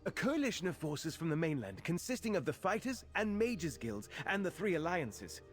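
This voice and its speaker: Earnest, animated fantasy islander watchman. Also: a little high, normal speed, soft background music. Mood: earnest briefing. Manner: formal, expository delivery. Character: earnest male fantasy islander watchman rallying help against a cult. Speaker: quest-giving non-player character in a fantasy game. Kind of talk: scripted game dialogue.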